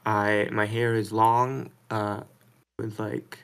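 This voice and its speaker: A man's voice: fairly low pitch, level tone, slow pace, creaky, a bit bright, clean tone. A man on a voice call, defensive and irritated, swearing often. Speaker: caller. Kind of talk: heated argument on a voice call.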